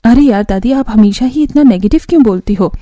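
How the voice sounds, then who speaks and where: A woman's voice: on the high side, animated, fairly quick, mellow, natural voice. A woman playing an outspoken teenage schoolgirl daughter in a family cartoon, earnest and pleading. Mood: earnest protest. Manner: earnest, protesting. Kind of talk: Hindi cartoon family drama acting.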